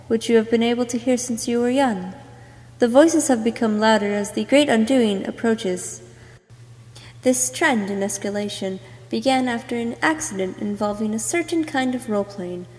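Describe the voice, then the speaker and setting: A woman's voice: fairly high pitch, darkish tone. A woman reading scripted second-person character narration, as an amateur voice actor making a reel. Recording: long hollow reverb.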